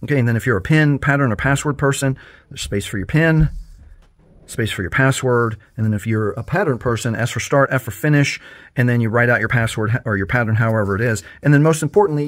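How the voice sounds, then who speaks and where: A man hosting a phone tutorial, calmly explaining step by step in a friendly, patient way. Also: medium pitch, dry recording. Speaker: tech tutorial host.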